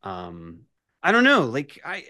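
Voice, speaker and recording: A man speaking as a podcast guest, telling rambling personal anecdotes in a halting, effusive, self-deprecating way. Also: medium pitch, wildly swooping pitch, bright, forceful tone, no echo, clean studio sound.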